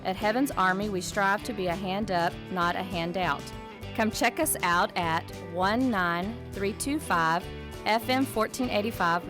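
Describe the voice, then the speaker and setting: A woman announcer reading a scripted sponsor message. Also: mid-range voice. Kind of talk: scripted advertisement narration. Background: music.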